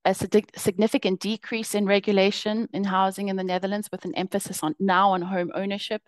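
A young woman presenting her research over slides on a video call, explaining in a measured way with occasional fillers and restarts. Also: mid-range voice, little pitch movement, dry recording, low-quality recording.

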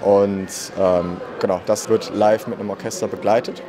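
A man's voice: fairly low pitch, steady tone, normal speed, crowd noise. A man in his thirties, a marketing manager and musician, answering interview questions in a chatty way with many fillers. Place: indoor room at a festival. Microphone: handheld mic held by interviewer.

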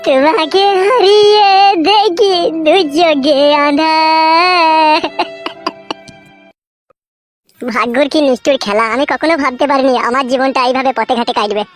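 A woman's voice: high voice, moderate pace, over music, lightly acted. A woman voicing a plaintive, self-pitying young man in a comedy cartoon. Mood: mournful. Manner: plaintive, complaining. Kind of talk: Bengali comedy cartoon dubbing.

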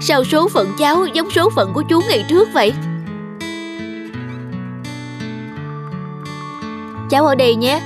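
A troubled, soft-hearted cartoon crook, music behind. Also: male voice, extremely high pitch, sing-song, quick pace. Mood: worried. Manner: troubled, soothing, speaking to a baby. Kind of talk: Vietnamese animated cartoon dialogue.

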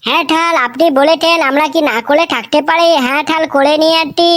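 A woman's voice: sits high, quick pace, fairly bright tone. A woman voicing a whiny, chatty village schoolboy in a comic cartoon, with a rural western Bengali accent and childlike lisped, rambling, repetitive speech. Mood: complaining.

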